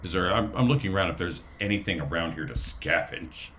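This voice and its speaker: Guy with a lowish voice chatting as a player in a tabletop game. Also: a little fast, a bit bright, slight background noise. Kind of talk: casual in-game chat.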